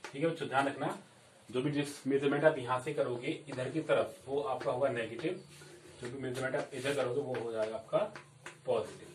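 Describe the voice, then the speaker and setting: A man's voice: medium pitch, steady tone, brisk, distant mic, a little hiss or hum. Young male teacher in an echoey classroom. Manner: explains step by step, checks in with frequent "okay, kids". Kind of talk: chalkboard lesson. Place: classroom at a chalkboard. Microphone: camera set back from the board. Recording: echoey room, voice a bit off-mic.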